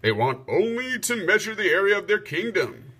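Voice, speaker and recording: A man acts out a stately king addressing his knights in a storybook. The voice is bright and forceful, a little high, with formal, declamatory authority. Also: very animated, normal speed, slightly rough, full character voice. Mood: proud announcing. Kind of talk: storybook read-aloud.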